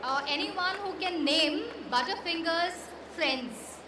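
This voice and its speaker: A woman's voice: very high voice, slow, a crowd in the background. A woman hosting a live quiz at an event, putting questions to the audience. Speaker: magazine editor and quiz host. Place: comic convention event. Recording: echoey noisy crowded hall, distant pickup.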